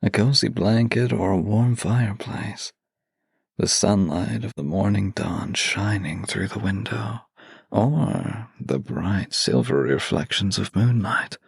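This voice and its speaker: A man's voice: fairly low pitch, slow, creaky, slightly rough, no echo, studio quality. A man who works as a voice actor, hosting a scripted, intimate audio series with a theatrical, playful and flirtatious manner. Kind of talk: scripted, intimate introduction to listeners.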